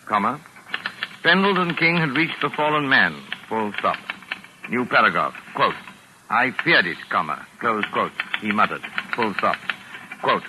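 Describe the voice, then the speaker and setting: An actor playing a pompous, touchy mystery novelist with precise, exasperated formal diction, in 1940s radio drama style. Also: male voice, mid-range voice, expressive, unhurried, background noise, natural voice. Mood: dictating. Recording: raspy vintage 1940s radio recording.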